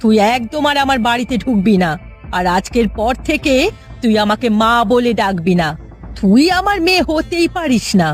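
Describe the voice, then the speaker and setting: A woman's voice: fairly high pitch, big pitch swings, normal speed, faint music behind. A woman voicing a village mother in a Bengali cartoon. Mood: angry, disgusted. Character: stern, scolding village mother, later a worried poor wife. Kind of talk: cartoon voice acting.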